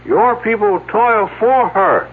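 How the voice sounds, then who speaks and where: An actor playing a strange, solemn mystic in a 1970s radio drama, speaking in stilted, portentous phrases. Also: male voice, high-pitched, lively intonation, slow, breathy edge, exaggerated voice. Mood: solemn, mystical. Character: mystical, solemn, strange man claiming ancient Indian origins. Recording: tinny, narrow old radio broadcast.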